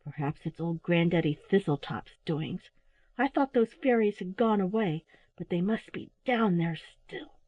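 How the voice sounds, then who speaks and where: A fussy, scolding old mother owl from a storybook, played by a woman reader. She speaks in sharp exclamations, with lively swoops in pitch. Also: measured pace, husky, dry recording, narrow, tinny audio. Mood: suspicious, threatening.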